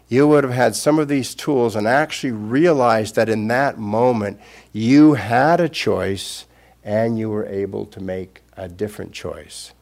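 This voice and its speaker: An older man, a Buddhist teacher, giving an unscripted Dharma talk in a gentle, reflective way with pauses. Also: lowish voice, vocal fry.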